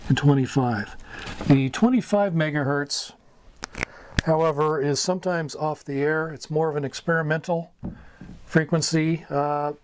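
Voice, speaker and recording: An American man with a voice pitched a little above average gives an informal talk. He explains things for beginners as a hobbyist would, in an unscripted instructional style. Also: a little mellow, slight background noise. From United States. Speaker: amateur radio hobbyist teaching beginners.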